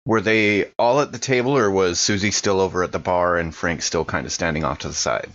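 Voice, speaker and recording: A man's voice: deep voice, brisk, a bit bright, lo-fi sound. A man chatting casually as a player on a tabletop game podcast. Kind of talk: casual chat.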